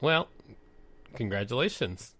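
A man's voice: mid-range voice, sing-song, measured pace, fairly bright tone. A man co-hosting a podcast, reading articles aloud and adding casual commentary. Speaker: podcast co-host.

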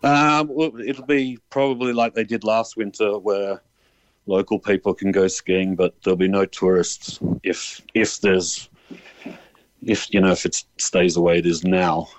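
A man's voice: low voice, little pitch movement, measured pace, no room sound, low-quality recording. A man with an Australian accent giving casual answers as a phone-in guest, matter-of-fact, hesitant and fed up. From born in Ireland, grew up in Australia.

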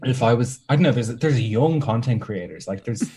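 A man's voice: mid-range voice, lively intonation, fairly quick, dark tone, a little hiss or hum. A young Irish man who makes content, chatting as an interview guest with sarcastic, self-mocking humor. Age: young adult. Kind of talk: casual podcast interview.

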